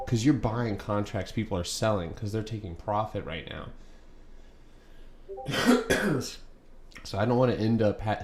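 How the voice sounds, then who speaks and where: A man's voice: on the low side, a little mellow. A young man hosting a live stock trading stream, talking casually and rambling with many ums and uhs. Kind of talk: live market commentary. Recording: echoey room, faint background noise.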